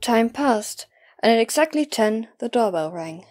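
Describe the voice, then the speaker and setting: A woman's voice: a little high, animated, a little slow, a little mellow, soft background music. A woman reading scripted story narration as the narrator of an audio drama.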